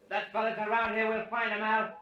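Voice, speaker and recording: A man playing a rough, menacing country gunman who hollers in slang in an old radio drama. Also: sits high, monotone, fast talker, heavy reverb, recorded from a distance, dull audio, slight background noise, close to an everyday voice. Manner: calling out loudly in country slang. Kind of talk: 1930s-40s radio drama acting.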